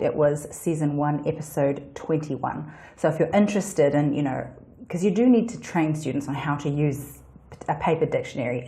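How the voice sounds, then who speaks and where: Chatty New woman, low mellow voice. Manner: chatty, explanatory, many restarts and fillers. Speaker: podcast host. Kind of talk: solo educational podcast.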